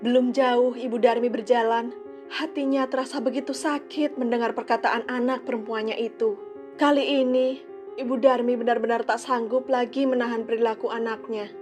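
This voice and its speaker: A woman narrating a children's folk tale in a calm, clear storytelling manner. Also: fairly high pitch, normal speed, music in the background.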